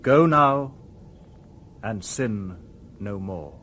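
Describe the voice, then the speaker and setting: An actor playing a polite, logical humanlike robot in a radio drama, speaking formally and precisely. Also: male voice, fairly low pitch, animated, drawn-out words. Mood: solemn, gentle.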